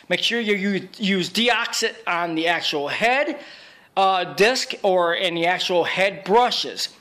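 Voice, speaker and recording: A man with a noticeably high voice narrates an informal how-to. He talks like a hands-on technician sure of his own skill. His delivery is emphatic and boastful. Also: fairly bright tone. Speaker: video repair technician.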